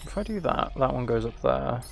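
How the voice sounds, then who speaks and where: A man's voice: mid-range voice, animated, a little fast, creaky, faint music behind. A man giving casual, playful gameplay commentary, often talking to himself. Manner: playful, talks and sings to himself. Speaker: game streamer.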